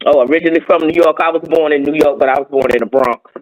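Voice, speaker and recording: A woman's voice: low-pitched, steady tone, rapid-fire, poor audio. A woman, co-hosting a casual live-stream chat and asking simple questions while checking the chat. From North Carolina. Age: 50s. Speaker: guest co-host.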